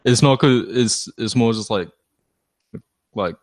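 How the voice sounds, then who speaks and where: A man in casual conversation, talking in a halting, informal, sweary way. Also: mid-range voice, no room sound. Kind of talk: casual chat.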